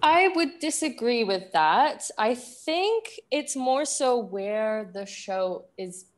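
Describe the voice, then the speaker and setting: A woman's voice: a little high, expressive, slow. A woman in her twenties from the United Kingdom, raised in Singapore, answering interview questions thoughtfully, with plenty of fillers and restarts. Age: 20s. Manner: thoughtful, hesitant, many fillers. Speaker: guest, performer. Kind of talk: casual interview.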